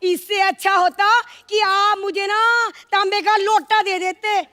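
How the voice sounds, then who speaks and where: A woman playing a loud, dramatic, scolding village wife and mother in live TV sketch comedy. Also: very high voice, flat delivery, measured pace, exaggerated voice. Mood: teasing. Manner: theatrical, scolding, exclaiming. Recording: noisy stage with studio audience.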